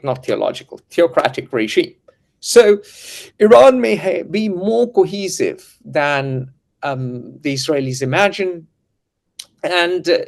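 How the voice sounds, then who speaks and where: A man hosting a geopolitical discussion, lecturing and full of historical asides. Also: medium pitch. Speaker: host and editor.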